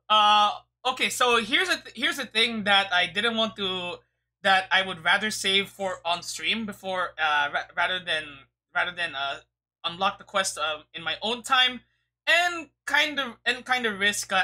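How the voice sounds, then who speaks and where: A woman's voice: medium pitch, sharp tone. A woman livestreaming a game with casual, rambling commentary, often stammering and swearing, on a streaming microphone.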